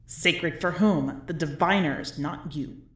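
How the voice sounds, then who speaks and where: A woman's voice: deep voice, slow, husky, pitched below the performer's own voice, exaggerated voice. A doomed prisoner's inner voice, acted by a woman narrator, bleak and desperate. The warnings come in short, clipped phrases, as in a dramatic story reading. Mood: grim urgency.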